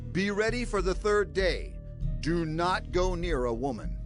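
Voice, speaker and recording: A man's voice: sits high, animated, unhurried. A man playing an earnest, urgent prophet leading his people in dramatized scripture audio, with emphatic, declamatory delivery. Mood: commanding. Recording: music under the voice.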